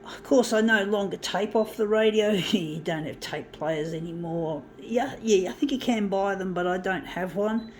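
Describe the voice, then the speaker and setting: A woman's voice: mid-range voice, normal speed, a little mellow, slight background noise. A middle-aged woman reminiscing casually about mixtapes and zine culture, rambling with fillers and restarts. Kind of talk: casual reminiscing while working.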